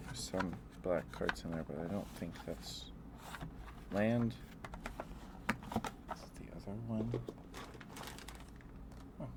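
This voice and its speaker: A man's voice: low voice, slow, long pauses. A man streaming rambling, hesitant ASMR chat in a meandering way, close to the microphone. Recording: clearly noisy room ambience.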